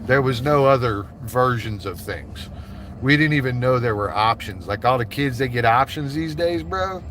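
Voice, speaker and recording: A man's voice: medium pitch, animated, normal speed, fairly bright tone, a little hiss or hum. A man from South Florida chatting casually with viewers on a live stream, laid-back and saying "bro" a lot. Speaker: livestream host.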